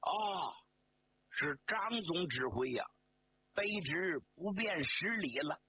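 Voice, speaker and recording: A courteous, resolute army commander, acted by a male storyteller. He speaks slowly and formally, in a raised, animated voice. The sound is dull and lo-fi. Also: a little high. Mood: polite. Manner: formal, measured, persuasive. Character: courteous, resolute regimental commander, respectful but firm. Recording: dull lo-fi sound, faint noise.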